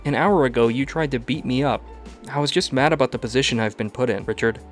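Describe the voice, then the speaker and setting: A man's voice: mid-range voice, quick pace, soft background music, natural voice. A man voicing a frustrated, defensive young woman thief. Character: young woman, defensive cat burglar heir.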